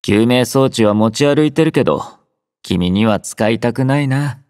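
An actor playing a gentle, quietly caring young male android soldier in a Japanese game, soft-spoken, drowsy and composed, with a mildly teasing manner. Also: mid-range voice, animated, moderate pace, dry recording, clean studio sound, lightly acted. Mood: calm, caring. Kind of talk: Japanese game character voice acting.

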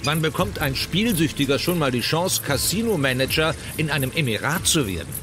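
An actor playing a wry, self-satisfied gambler and turncoat in a radio drama, flippant in manner. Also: male voice, on the high side, animated, a little fast, over music, natural voice. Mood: wry, amused.

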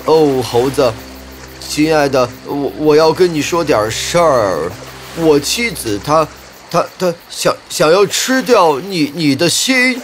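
A man voicing an earnest, soft-hearted crocodile in a children's animated fairy tale, with a pleading, emphatic delivery. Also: fairly high pitch, takes it slowly, faint music behind. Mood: anguished, apologetic. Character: earnest, soft-hearted male crocodile, troubled loyal friend.

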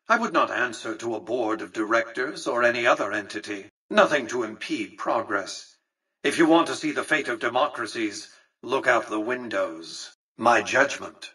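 An actor playing an imperious, calculating old tycoon in a video game, speaking with an American accent in a pompous, precise, condescending manner. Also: male voice, medium pitch, pushed and bright, husky, far from the mic, low-quality recording. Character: imperious, calculating aged male tycoon autocrat. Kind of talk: scripted video game dialogue.

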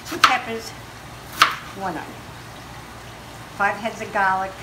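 A woman's voice: mid-range voice, fairly even intonation, slow, heavy background noise. An older woman cooking in her home kitchen, chatting in a bubbly, theatrical way and breaking into song, filmed on a phone. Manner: bubbly, theatrical, sings and chats while cooking. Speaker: home cook showing a recipe. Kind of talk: casual cooking vlog. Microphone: handheld phone camera nearby. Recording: roomy kitchen with loud music.

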